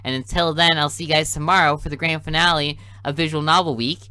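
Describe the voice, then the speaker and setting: Casual gaming YouTuber, bright tone. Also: male voice, fairly high pitch, fairly even intonation, quick pace, smooth, no echo. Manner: casual, joking asides. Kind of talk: reading a visual novel aloud with commentary.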